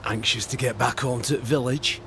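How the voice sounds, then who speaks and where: Gruff, bitter old British veteran. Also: male voice, medium pitch, fairly even intonation, fairly quick, a bit bright, a little hiss or hum. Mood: mocking. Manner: sardonic, sweary, blunt. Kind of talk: video game cutscene acting.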